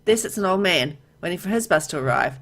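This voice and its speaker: A woman with a low voice narrates a story game aloud in a let's play style. She reads the text as a story and drops in casual remarks of her own as the player. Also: lively intonation, fast, a bit bright. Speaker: gamer reading a visual novel aloud.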